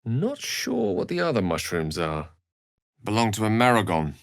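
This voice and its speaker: An actor playing an eloquent, wry wizard in a video game, speaking in articulate, theatrical phrases with an earnest streak. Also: male voice, lowish voice, huge pitch swings, normal speed, creaky, no room sound, studio quality, heavily acted. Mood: observant. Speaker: video game companion character. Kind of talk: scripted video game voice acting.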